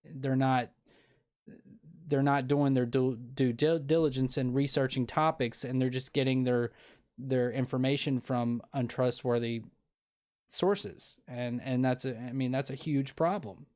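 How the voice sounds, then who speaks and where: A man's voice: mid-range voice, steady tone, unhurried, darkish tone. Rambling earnest male podcast host. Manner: rambling, many restarts and fillers; earnest, opinionated. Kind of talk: casual interview.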